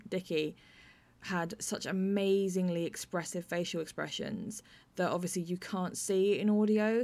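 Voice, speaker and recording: British female podcast host and writer, lowish voice, conversational discussion style.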